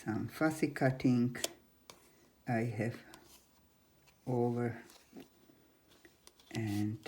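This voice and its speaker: This is an older woman in her seventies presenting a craft tutorial. Her voice sits extremely low for a woman and has a dark, mellow tone. She speaks slowly in halting, non-native English, with faint noise in the background. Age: 70s. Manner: halting non-native English with simple grammar, chatty and unhurried. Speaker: crafting channel host. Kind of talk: scrapbook craft tutorial, showing an album.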